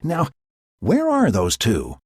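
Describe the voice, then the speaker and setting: Man doing a giggly, scatterbrained male sprite, breathy, lilting, storybook. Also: mid-range voice, wildly swooping pitch, takes it slowly, a little mellow, no room sound, clean studio sound, voice pushed up, heavily acted. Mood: grumbling. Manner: chatty asides with giggles.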